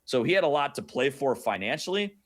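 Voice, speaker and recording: A man's voice: medium pitch, big pitch swings, fairly quick. A man talking sports conversationally as a guest play-by-play announcer, with informal storytelling and wry asides.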